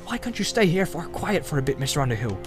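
A man's voice: on the high side, sing-song, fast talker. Man playing a flustered, rambling country innkeeper. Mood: worried, wary. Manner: rambling, stammering, folksy dialect speech. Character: flustered, rambling, good-hearted rustic innkeeper. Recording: music behind the voice.

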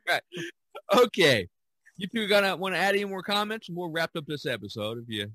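A man's voice: high-pitched, very animated, normal speed, sharp tone, no room sound. An older man hosting a casual podcast chat, rambling and often repeating his phrases. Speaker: podcast host.

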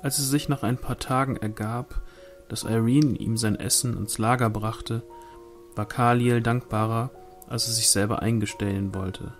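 Man reading a novel over music. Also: lowish voice. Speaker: audiobook reader. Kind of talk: scripted literary narration.

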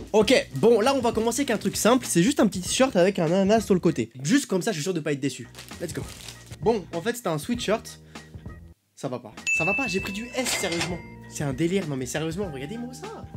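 A young man in his twenties trying on clothes for a vlog, chatty and animated, with quick reactions and self-mocking asides, speaking into a boom-arm studio mic in a small bedroom. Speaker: youtuber. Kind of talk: clothing try-on haul vlog. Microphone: studio mic on boom arm in front.

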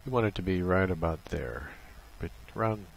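A man's voice: deep voice, expressive, moderate pace, vocal fry, slight background noise, low-quality recording. A man presenting an unscripted tutorial, explaining a setup casually, step by step. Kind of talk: casual unscripted software walkthrough.

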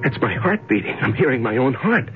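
An actor playing a frightened, bewildered male prisoner in a radio drama, halting and stammering as he repeats himself. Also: mid-range voice, sing-song, close to an everyday voice. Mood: fearful self-soothing. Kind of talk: 1970s radio drama acting. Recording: muffled 1970s radio recording, music behind.

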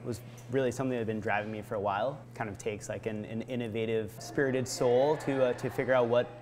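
An American man in his thirties from Vermont giving reflective interview answers, haltingly, searching for words. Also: medium pitch, fairly even intonation, a little mellow. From Vermont, United States. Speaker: brewery founder being interviewed. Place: indoor barrel room of a brewery. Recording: noticeable background room noise.

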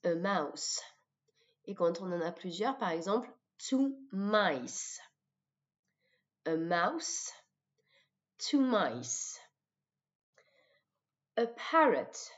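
A French-accented woman teaching vocabulary in a didactic way, repeating words deliberately for pupils. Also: medium pitch, big pitch swings. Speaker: English teacher. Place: voice-over of a screen recording. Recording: dull lo-fi microphone sound.

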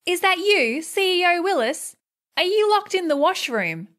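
A woman's voice: very high-pitched, sing-song, normal speed, fairly bright tone, full character voice. A woman playing an eager, officious office employee in a story, speaking politely and earnestly. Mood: officious, helpful.

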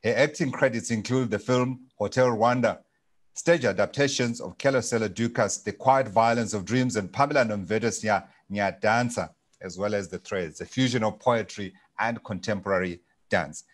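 A man's voice: fairly low pitch, moderate pace. A male broadcast presenter introducing a guest live, speaking conversationally with frequent fillers.

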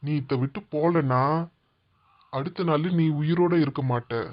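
A woman's voice: low-pitched, a little slow, pitched below the performer's own voice. A woman voicing a menacing, territorial snake who bullies intruders in a children's animal story. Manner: threatening, bullying. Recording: dull lo-fi audio.

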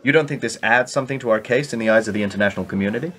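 An actor in a radio play playing a suspicious political colleague who questions probingly. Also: male voice, on the low side, very fast, a bit bright, lightly acted. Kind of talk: conversational radio play acting.

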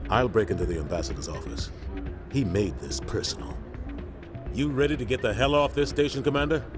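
Game role: grave, firm starship captain, middle-aged man male actor, medium pitch, score underneath. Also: brisk, lightly acted. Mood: determined. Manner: earnest, firm, urgent. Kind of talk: video game dramatic acting. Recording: music under game dialogue.

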